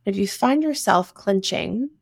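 A woman leading a guided meditation, giving soothing instructions in short phrases with long pauses between them. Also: medium pitch, animated, creaky, breathy edge, no room sound, studio quality. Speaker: meditation guide, breathwork teacher.

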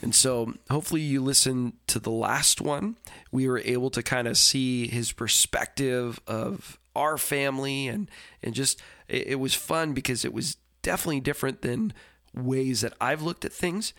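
A congested man talks through his solo podcast intro, rambling and apologetic, tripping over restarts and ums. His voice sits at an ordinary middle pitch, stuffy through the nose, recorded close and dry with no room sound. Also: normal speed, fairly bright tone, no echo. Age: adult with a daughter. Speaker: podcast host. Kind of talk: casual solo podcast talk.

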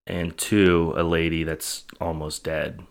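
A man's voice: low-pitched, little pitch movement, takes it slowly. A man co-hosting a podcast, chatting casually and chipping in with comments. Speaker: podcast co-host. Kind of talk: casual chat.

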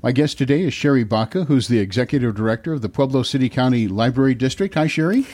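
A man's voice: medium pitch, lively intonation, fast, darkish tone, no echo, clean studio sound. A man hosting a local podcast, asking questions in an easygoing way with many fillers. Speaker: podcast host. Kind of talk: podcast interview and read ad.

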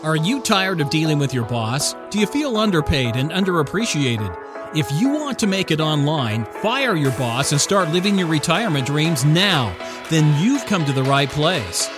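A man with a fairly high, lively voice reads a punchy promo intro, swooping up and down as he sells it. His voice sits on top of a loud music bed. The delivery is energetic and salesy from start to finish. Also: moderate pace. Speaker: show announcer.

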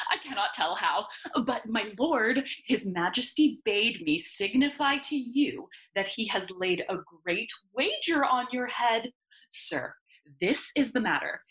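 A woman's voice: medium pitch, fairly bright tone, poor audio. A woman playing a foppish, fawning courtier in a Shakespeare audio play, with gushing, affected, flowery delivery. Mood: flustered flattery. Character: Osric, foppish, fawning, giggly royal courtier.

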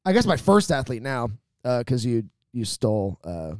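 A man bantering casually as a podcast panelist, building up his picks with dramatic pauses. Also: mid-range voice, very animated, a little mellow, no echo, clean studio sound. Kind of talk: casual comic banter, sports-draft parody.

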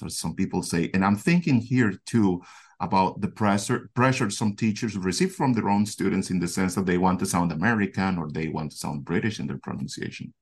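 A male podcast host asking a guest formal, measured interview questions. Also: deep voice.

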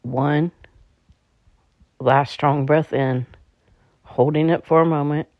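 A meditation guide speaking in a calm, slightly halting way, leading a slow guided relaxation. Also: mid-range voice, no room sound. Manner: calm, halting delivery with stumbles. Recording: dull, muffled lo-fi recording.

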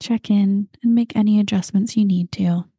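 A woman guiding a meditation, talking slowly and calmly and at times dropping into breathy whispers. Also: medium pitch, dry recording, studio quality. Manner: slow, soft and calm, drifting into breathy whispers. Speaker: meditation guide. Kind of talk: guided meditation.

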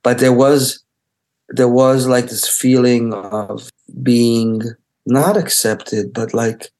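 Reflective Israeli-born man, halting speech. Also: medium pitch, fairly even intonation, darkish tone. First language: Hebrew. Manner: halting, searching for words, many restarts. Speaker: photographer. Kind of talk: interview, reflective talk.

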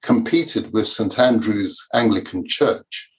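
A man, a Yoruba speaker, reading his own memoir aloud in a measured, formal way. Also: on the low side, low-quality recording. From Nigeria. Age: 50s. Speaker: author and lawyer.

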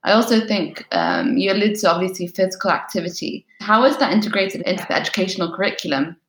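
A woman's voice: medium pitch, big pitch swings, quick pace. A woman from Turkey hosting an interview, asking questions in an encouraging manner. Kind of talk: podcast interview. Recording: roomy echo.

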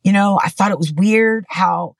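A woman's voice: mid-range voice, normal speed, vocal fry, dry recording. Middle-aged woman, podcast guest, candid rambling storyteller, dry close recording. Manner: candid, rambling, frequent "you know" and restarts. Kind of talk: personal story in conversation. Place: Texas.

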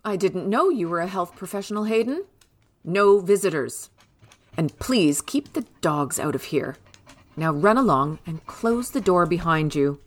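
An actress as a haughty, controlling middle-aged mother in an audio drama. She gives clipped commands in a slightly low voice with lively swings of pitch. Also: measured pace. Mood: stern, dismissive. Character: imperious, stubborn, controlling wealthy mother.

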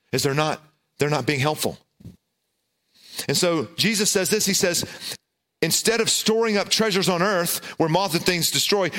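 A middle-aged man with a loud voice, preaching a sermon and telling a self-deprecating, humorous personal story. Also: fairly high pitch. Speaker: pastor.

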